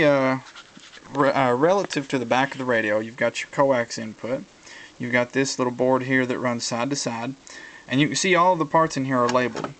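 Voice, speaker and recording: Man giving a casual, halting walkthrough. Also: mid-range voice, a bit bright. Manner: halting, explanatory, thinking aloud. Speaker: hobbyist video presenter. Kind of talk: casual hands-on tutorial talk.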